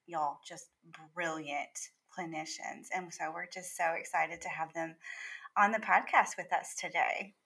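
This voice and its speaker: A woman's voice: lowish voice, creaky, bright, forceful tone. A woman co-hosting a podcast conversationally, effusive and complimentary as she speaks. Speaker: podcast co-host.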